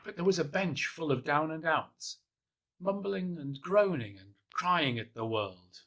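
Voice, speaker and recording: A man gently reading a children's picture book aloud in the first person, in a soft, hushed and unhurried way. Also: fairly high pitch, expressive. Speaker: storybook reader.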